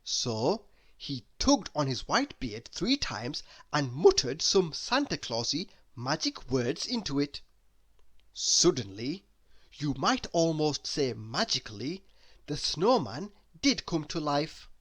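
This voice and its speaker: A man reading a children's story aloud in a deliberate, expressive way, leaning on key words. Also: a little high. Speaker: storybook reader.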